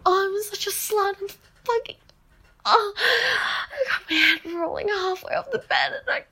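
A woman in bed at night, exhausted, talking in a breathy, whispery, trembling voice that breaks into moans. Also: very high voice, sing-song, a little slow, bright, forceful tone. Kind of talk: intimate erotic audio monologue.

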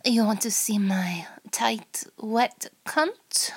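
A woman acts out a domineering Russian female spy in an adult audio roleplay. The voice is husky and breathy, with a crackle of fry, often dropping to a whisper. The Russian-accented delivery is slow, teasing and full of threat. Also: on the high side, lively intonation, exaggerated voice. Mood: seductive, teasing. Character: seductive, menacing Russian female spy interrogating a captive.

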